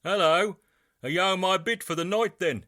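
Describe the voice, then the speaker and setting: Man playing a bumbling Brummie metal rocker, bright and high. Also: moderate pace, dry recording. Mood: casual, flirty. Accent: Birmingham. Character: queasy drunken-sounding British heavy metal rock star.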